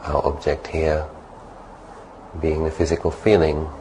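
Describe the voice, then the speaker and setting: A man calmly leading a guided meditation as a monk, giving gentle, unhurried instructions. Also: rumbling low, slight background noise, poor audio.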